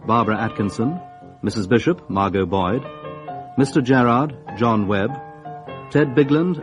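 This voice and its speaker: A man with a British accent making formal, scripted announcements as a radio drama announcer. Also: medium pitch. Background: music.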